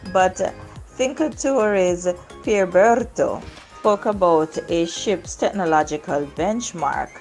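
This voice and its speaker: Slow-talking, low-pitched woman presenting. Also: darkish tone. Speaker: travel agent and video host. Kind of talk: informal promotional video narration. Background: music.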